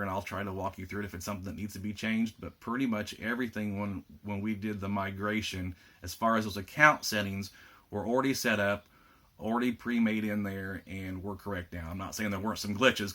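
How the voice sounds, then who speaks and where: A man with a slightly rough voice, giving a casual video update to lodge officers, plainspoken with frequent fillers. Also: fairly low pitch, steady tone, a little fast, fairly bright tone. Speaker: state lodge administrator giving members an update.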